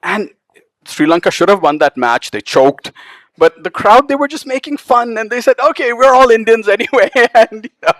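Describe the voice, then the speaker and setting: A man talking about his travels at a book event, animated and quick to laugh. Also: very high voice, no echo. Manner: animated storyteller, laughs often. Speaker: author. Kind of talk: casual talk at a book event. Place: event hall with live audience.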